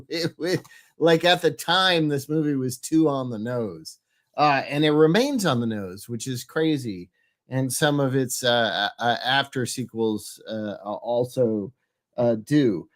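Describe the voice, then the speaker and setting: A man with a head cold, nasal and congested, hosting a casual show in a halting, rambling way. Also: medium pitch. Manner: halting, searching for words. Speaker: podcast host.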